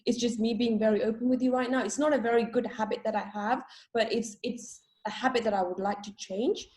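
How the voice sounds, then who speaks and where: A young woman from Sri Lanka answers interview questions in a loose, chatty way. She is candid and quick to laugh at herself. Her voice sits a little high. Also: steady tone, a little mellow. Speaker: interview guest. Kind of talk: casual interview.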